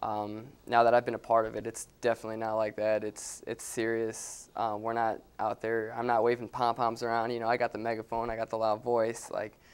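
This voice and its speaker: Smooth-voiced young man, easygoing. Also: lowish voice, monotone, fairly quick, few pauses. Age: young adult. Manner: easygoing, chatty storyteller. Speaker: guest, college cheerleader. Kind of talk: casual interview chat.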